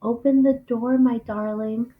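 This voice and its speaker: A woman's voice: on the high side, fairly even intonation, a little slow, narrow, tinny audio, lightly acted. A woman reading the part of an alarmed husband pleading urgently with his wife in a story. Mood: quietly pleading.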